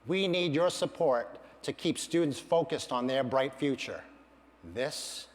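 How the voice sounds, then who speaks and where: A middle-aged man, a university financial aid administrator, giving an earnest, measured prepared speech at a campaign event. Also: medium pitch, long reverb tail. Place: large event hall.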